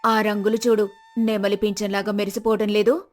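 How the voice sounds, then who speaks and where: A woman voicing a shrewd, calm older village mother-in-law who speaks coaxingly in a moral story. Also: medium pitch, a little fast, pitched below the performer's own voice, close to an everyday voice. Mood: coaxing admiration.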